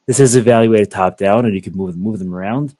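A young man giving a methodical, step-by-step software walkthrough over a video call. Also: on the low side, brisk, no echo. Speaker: software product presenter. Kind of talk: screen-share software walkthrough.

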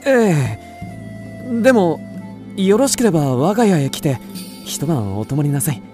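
An actor playing an earnest, lovestruck young widower of old Japan in a radio drama, speaking politely and formally. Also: male voice, fairly high pitch, sing-song, measured pace, music in the background. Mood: delighted, inviting. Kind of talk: radio drama acting of a ghost tale.

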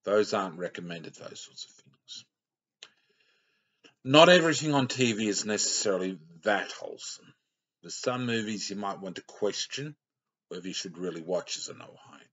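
A man's voice: on the low side, expressive, moderate pace, a bit bright. A middle-aged man giving a rambling, plainspoken sermon to a webcam in a small room, often correcting himself as he talks. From Australia. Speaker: lay preacher. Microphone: webcam close up. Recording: dull lo-fi webcam audio.

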